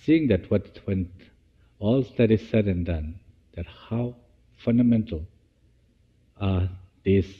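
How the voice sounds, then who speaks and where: Slow, low-voiced man guiding meditation, echoey sound. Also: husky. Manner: halting, phrase-by-phrase delivery with restarts. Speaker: meditation teacher. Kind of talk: guided meditation. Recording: dull, echoey hall-like sound.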